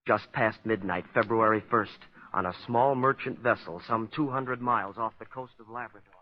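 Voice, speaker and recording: A man's voice: fairly low pitch, close to an everyday voice. An actor playing a haunted man who tells of his family curse, earnest and dramatic in 1940s radio style. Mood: grave, recounting. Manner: earnest, dramatic, deliberate storytelling. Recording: muffled old radio recording with music.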